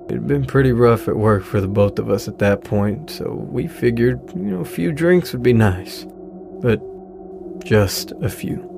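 A man playing a grieving, apologetic middle-aged widowed father with a halting delivery in a naturalistic audio drama. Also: fairly low pitch, creaky. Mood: wistful, subdued. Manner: halting, hesitant, sighing delivery. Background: music.

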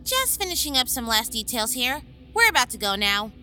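An actress playing an exasperated, haughty female vampire scholar in an audio drama, brisk and emphatic. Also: fairly high pitch, big pitch swings, bright, forceful tone, soft background music, heavily acted. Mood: mildly reproving.

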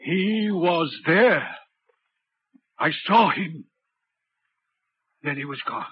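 Troubled signalman, intense 1940s radio drama storyteller, slightly high register, muffled old recording. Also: expressive, drawn-out words, breathy edge, exaggerated voice. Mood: haunted, subdued. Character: haunted, troubled railway signalman recounting an apparition.

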